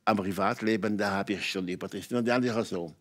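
An older man from Switzerland speaks with a Swiss German accent in a fairly low voice. He tells personal stories in conversation, emphatically, in short halting phrases that often repeat. He is a guest in a television studio. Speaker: talk show guest. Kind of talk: candid personal storytelling in conversation. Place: TV talk show studio with audience.

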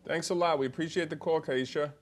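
A man's voice: a little high, steady tone, quick pace, faint music behind. An older man hosting a live call-in medical show in a TV studio, chatty and joking, often restarting his sentences. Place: TV studio set.

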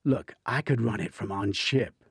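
A man's voice: fairly low pitch, unhurried, slightly rough, no echo, studio quality, pitched above the performer's own voice, exaggerated voice. A man voicing a stubborn, earnest tech colleague in an audiobook, defensive and matter-of-fact.

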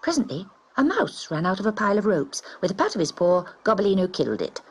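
A woman reading a children's story aloud in an expressive, dramatic way. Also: mid-range voice, quick pace, husky.

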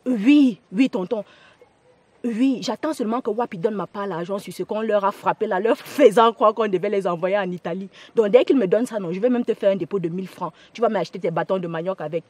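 A woman's voice: medium pitch, brisk, a little mellow, slightly rough, dry recording, close to an everyday voice. A woman with a Cameroonian accent playing an evasive, pleading young gossip in a family drama. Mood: gossipy, reassuring.

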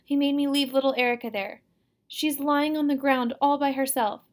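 A woman's voice: fairly even intonation, drawn-out words, darkish tone. A woman voicing a hysterical, stubborn little girl who wails and pleads in an audiobook story. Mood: tearful pleading. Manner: wailing, pleading, shrill.

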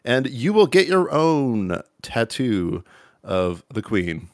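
A man's voice: medium pitch, sing-song, slow, no echo, studio quality. A man hosting a charity livestream, announcing donation rewards in a rambling, filler-heavy way. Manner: rambling, many fillers and restarts. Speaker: livestream host.

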